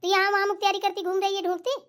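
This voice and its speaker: A woman's voice: extremely high pitch, little pitch movement, very fast, fairly bright tone. A woman playing a bossy, scolding mother-in-law who lectures and chides in a family comedy skit. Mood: exasperated. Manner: chiding, lecturing.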